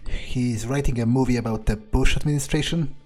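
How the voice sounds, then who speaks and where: A man voicing a cynical, bored male vampire in an improvised game playthrough, offhand, deadpan and sarcastic. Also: medium pitch, brisk, soft background music, close to an everyday voice. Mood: dry, sarcastic. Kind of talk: improvised in-character gaming playthrough.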